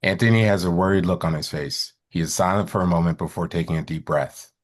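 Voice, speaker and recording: A man's voice: low-pitched, fast talker. A man reading stage directions aloud as scripted narration at a live screenplay table read.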